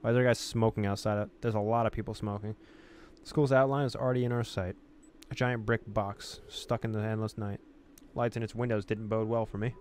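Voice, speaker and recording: A man's voice: on the low side, level tone, a little fast, a little mellow. A man reading a visual novel aloud as a gamer, mixing story narration with casual commentary. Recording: noticeable background noise.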